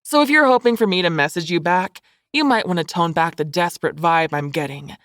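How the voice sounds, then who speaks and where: A woman's voice: fairly low pitch, a little fast, fairly bright tone, no echo, clean studio sound, close to an everyday voice. A woman voicing a blunt, sassy young woman student in an audiobook, sardonic and forthright. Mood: scornful.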